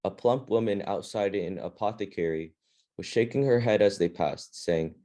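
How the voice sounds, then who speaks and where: Guy reading a kids fantasy book out loud to a group, deep-ish mellow voice, nothing fancy. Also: normal speed. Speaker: reading club leader. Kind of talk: reading a children's fantasy novel aloud to a group.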